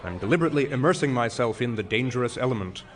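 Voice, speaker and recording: An actor playing a pompous, self-dramatizing retired philosophy professor in a stage comedy, speaking in wordy, self-mocking speeches. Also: male voice, mid-range voice, animated, racing, close to an everyday voice. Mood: grandiose, self-mocking. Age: older. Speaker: actor in an audio play.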